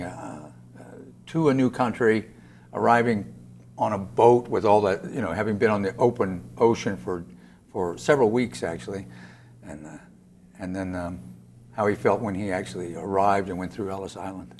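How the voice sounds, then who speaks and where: A man, an actor being interviewed, talking conversationally in thoughtful, halting phrases. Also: fairly low pitch, darkish tone. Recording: faint background noise, room ambience.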